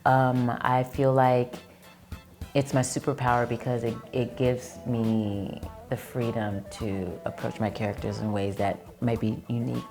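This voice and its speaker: A woman actress and producer, chatting as an interview guest, telling stories with lively acted-out quotes. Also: very deep voice, measured pace, faint music behind. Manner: animated storyteller who acts out quoted lines. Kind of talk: casual interview chat.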